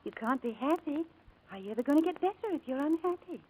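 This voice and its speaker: A woman's voice: high-pitched, animated, fast, poor audio. An actress in a 1940s-style radio drama playing a kind, chatty young showgirl, coaxing and protective. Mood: tender, encouraging. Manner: bubbly, coaxing, gently reassuring. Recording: old radio broadcast recording.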